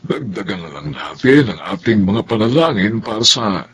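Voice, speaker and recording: A man acting a concerned, resigned older husband in a Filipino radio drama, folksy and expressive. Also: lowish voice, moderate pace, slightly rough, no room sound, heavily acted.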